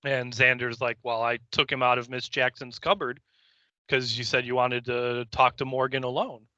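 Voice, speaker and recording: Sharp, bright-voiced man chatting casually. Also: medium pitch, level tone, normal speed, dry recording, lo-fi sound. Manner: joking, sweary, rambling storytelling. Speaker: podcast co-host. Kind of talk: casual recap of a TV episode, chatty banter.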